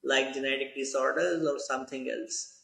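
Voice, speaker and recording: A man's voice: medium pitch, flat delivery, normal speed, gravelly, a bit bright. Formal male host in an echoey room. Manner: formal, with non-native phrasing. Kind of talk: interview hosting.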